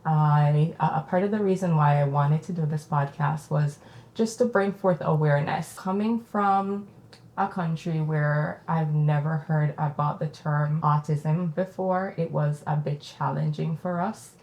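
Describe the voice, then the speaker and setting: A woman with a Jamaican accent and a low, grounded voice speaks candidly as a podcast host. Her talk is reflective and personal. The room around her rings with noticeable echo. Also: steady tone, measured pace, a little mellow.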